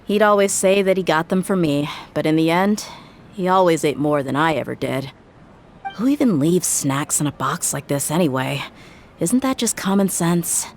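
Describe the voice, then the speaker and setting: A woman's voice: sits low, lively intonation, normal speed, slight background noise. A woman playing a tough, blunt young mercenary in a video game, dry, frank and wry. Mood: exasperated.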